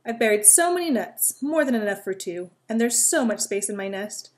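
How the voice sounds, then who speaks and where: A woman's voice: medium pitch, normal speed, lightly acted. A woman voicing an eager, cheerful young male squirrel in a storybook reading. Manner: excited and pleading.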